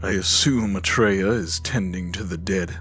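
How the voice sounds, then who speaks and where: A man's voice: deep voice, measured pace, a bit bright, husky, faint music behind, heavily acted. A man playing a wise, compassionate immortal leader in a fantasy audio drama, solemn and formal. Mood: solemn, reassuring.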